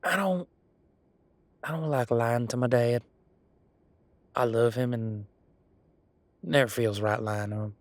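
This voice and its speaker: A man's voice: mid-range voice, slow, long pauses, dry recording. A man voicing a folksy, earnest young head of security who talks hesitantly and rambles in an audio roleplay drama.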